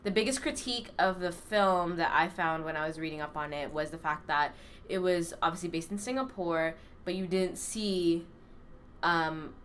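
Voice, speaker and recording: Low-pitched woman, hesitant and relaxed. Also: normal speed, a little hiss or hum. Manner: relaxed, hesitant, many ums. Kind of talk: casual chat.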